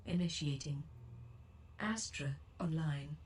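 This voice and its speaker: A woman voicing a composed, intellectual female ship computer in a sci-fi game, speaking in formal, measured phrases. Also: low voice, darkish tone, recorded from a distance. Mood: commanding, composed. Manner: formal, measured commands and explanations. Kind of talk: scripted sci-fi game voice pack.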